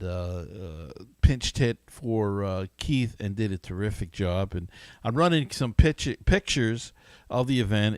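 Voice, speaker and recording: A man talks casually as a solo sports show host. His voice is fairly low and smooth, in a dry, close sound. He is enthusiastic but rambles and halts as he goes. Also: no echo. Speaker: podcast host.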